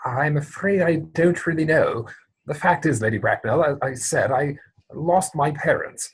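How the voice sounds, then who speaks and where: A man playing an earnest, anxious young gentleman suitor in a theatrical comedy reading, speaking with formal, hesitant politeness. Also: a little high, moderate pace, slight background noise, natural voice. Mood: sheepish.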